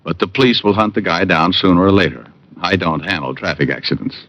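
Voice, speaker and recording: A man's voice: deep voice, a little fast. An American man playing a wisecracking hard-boiled private detective in a vintage radio drama, wry and terse. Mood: businesslike, terse. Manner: wry, terse, deadpan quips. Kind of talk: 1940s-50s radio drama acting and narration. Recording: dull old radio recording, faint music.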